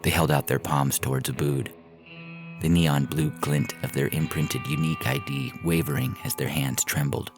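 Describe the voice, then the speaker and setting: A man's voice: very deep voice, moderate pace, vocal fry, over music. A man reading a science fiction story as narrator in a scripted audio fiction style.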